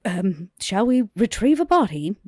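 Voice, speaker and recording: A woman's voice: medium pitch, very animated, unhurried, mellow, no echo, studio quality. A woman voicing a poised, hospitable vampire club owner in a tabletop game, with genteel, formal wording. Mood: composed. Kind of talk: tabletop roleplay in character.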